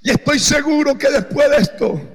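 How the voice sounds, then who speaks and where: A man preaching as pastor, shouting his lines in a sing-song preaching cadence. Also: very high-pitched, normal speed. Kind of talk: sermon. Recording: long reverb, echoey hall.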